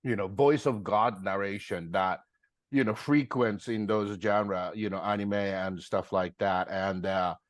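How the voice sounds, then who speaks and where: A man's voice: lowish voice, steady tone, a little slow, no echo. A middle-aged man from Japan, speaking earnestly as a filmmaker guest, full of fillers and restarts. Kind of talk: interview conversation.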